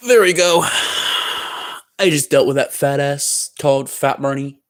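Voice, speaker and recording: A man's voice: mid-range voice, measured pace. A man speaking as a plush toy character on a livestream, rambling through crude banter with frequent restarts. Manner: rambling, stumbles and restarts mid-sentence.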